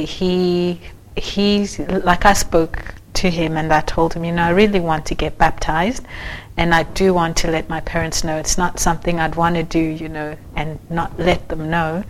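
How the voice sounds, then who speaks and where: A young adult woman from Zimbabwe telling her personal faith story in a soft-spoken, casual way, with many fillers and restarts. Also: fairly low pitch, slight background noise, rough recording. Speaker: church member sharing her story. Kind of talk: personal testimony.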